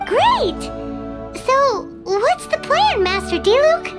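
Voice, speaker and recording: Squeaky excitable little fairy girl. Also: slow pace, over loud music, full character voice. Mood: eager, dismayed. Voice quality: squeaky, childlike. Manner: bubbly, exclaiming. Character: small excitable childlike floating fairy girl companion, naive, chatty. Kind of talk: video game character dialogue.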